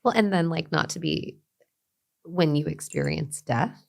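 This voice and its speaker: A middle-aged woman, a guest talking reflectively in an interview, with many restarts and soft trailing endings. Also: sits low, unhurried, gravelly. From Los Angeles, USA. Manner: thoughtful, many fillers and restarts, trailing softly. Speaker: guest, beauty brand founder.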